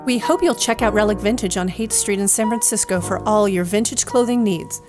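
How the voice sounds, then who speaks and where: A woman's voice: mid-range voice, a little fast, over music. A woman announcer delivering scripted narration in a radio-play style.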